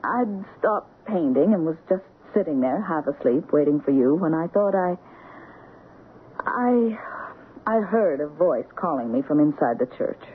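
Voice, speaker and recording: An actress with an American accent playing a dreamy, strong-willed wife, intense and halting in a 1970s radio drama. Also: female voice, low voice, expressive. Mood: mystified, intense. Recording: tinny, noisy 1970s radio broadcast recording.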